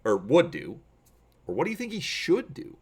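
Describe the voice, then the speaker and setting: A man hosting a gaming channel, explaining to viewers and reading aloud dramatically with expressive emphasis. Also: a little high, moderate pace, husky.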